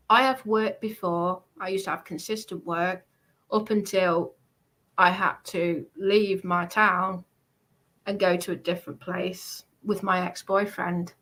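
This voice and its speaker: Woman, bright forceful voice, insistent, defensive, casual live stream chat. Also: medium pitch, rough recording.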